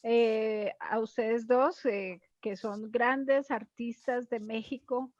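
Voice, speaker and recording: A Colombian woman speaks in a high voice as she hosts a casual conversation. She is effusive and slips easily into anecdote. The sound is dry and a little dull. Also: steady tone, slow, a little mellow, dry recording. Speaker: host of an online cultural talk. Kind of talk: casual chat.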